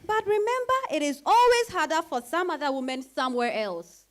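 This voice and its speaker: A woman's voice: sits high, very animated, a little fast. An actress with a Ghanaian accent playing a realistic, frank woman friend in a dramatized reading, emphatic and sisterly in her chiding. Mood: challenging.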